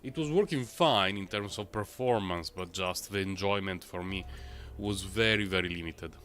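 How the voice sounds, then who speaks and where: A man livestreaming a game, talking in a rambling, chatty way full of fillers, his voice a little low and rough from a recent cold. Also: normal speed, fairly bright tone, soft background music. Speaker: game streamer. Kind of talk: casual live-stream gameplay commentary.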